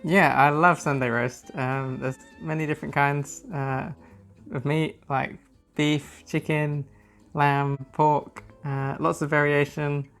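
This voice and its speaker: A man's voice: a little high, level tone, slow pace, a bit bright. Man, southern English accent, casual guest chat, informal and hesitant with ums, light guitar music behind. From London area, England. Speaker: guest, native English speaker.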